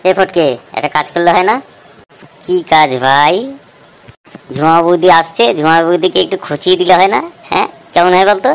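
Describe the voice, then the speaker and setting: A woman's voice: on the low side, a little fast, natural voice. A woman voicing a cheeky young male village prankster in a comedy cartoon, with slangy, teasing banter. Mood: scheming.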